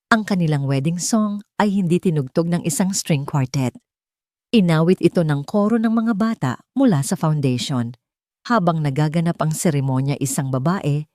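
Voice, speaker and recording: A woman narrates a scripted romantic drama. Her voice sits a little low for a woman. The recording is very dry and close, with no room sound. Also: a little mellow, dry recording. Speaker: audiobook narrator.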